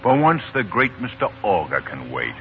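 A deep-voiced, wry newspaperman who grumbles dryly. The performance is 1940s radio drama. The recording is muffled with faint noise. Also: wildly swooping pitch, normal speed. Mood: wry, grumbling.

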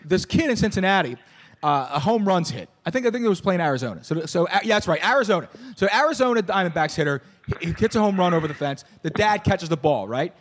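High-voiced excitable male podcast host. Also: fast. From Philadelphia area. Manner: animated, stammering restarts, mock play-by-play calls. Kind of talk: casual sports chat.

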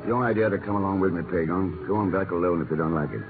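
An actor playing a cool, shrewd secret agent in a 1950s radio drama, speaking in a terse, unruffled way. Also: male voice, low voice, quick pace, crowd noise. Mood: cool, dry. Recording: noisy, muffled 1950s radio recording.

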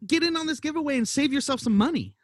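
A man's voice: very high-pitched, quick pace. A man co-hosting a casual podcast, joking and teasing his co-host, often repeating phrases. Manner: jokey, animated, repeats phrases.

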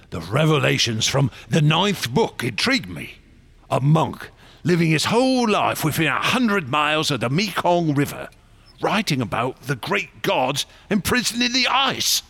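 A man's voice: on the high side, big pitch swings, a little slow, creaky, a bit bright, husky, exaggerated voice. An actor playing a passionate, secretive artist and explorer in a radio drama, telling his tales in a grand theatrical way. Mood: fervent storytelling. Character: passionate, secretive male artist and explorer obsessed with ancient gods.

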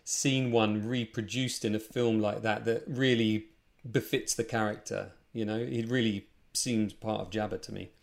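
A man's voice: fairly low pitch, steady tone, normal speed, vocal fry. A man hosting a podcast, asking eager questions and agreeing often. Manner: enthusiastic, leading questions with frequent agreement. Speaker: podcast host. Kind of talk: casual interview.

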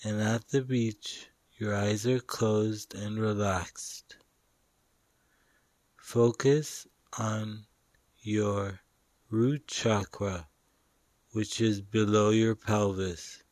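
A man guiding a meditation in short, deliberate phrases with long pauses between them. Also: fairly low pitch, steady tone, darkish tone, no room sound. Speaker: meditation guide. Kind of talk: guided meditation narration.

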